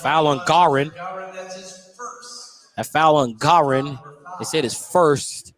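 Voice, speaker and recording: An American man works as a basketball play-by-play announcer on radio. His voice sits a little high for a man. He describes the action in short, clipped running phrases. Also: slow pace, fairly bright tone, rough recording. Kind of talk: live radio basketball commentary.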